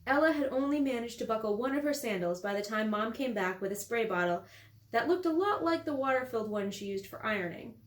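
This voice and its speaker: A woman's voice: a little high, expressive, a little fast. A woman, an author, reading her novel aloud as scripted story narration in an echoey room.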